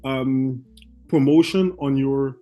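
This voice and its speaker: A young man from Belgium, a guest trader, telling his story in halting English full of fillers and restarts. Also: mid-range voice, muffled recording, soft background music. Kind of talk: casual interview chat.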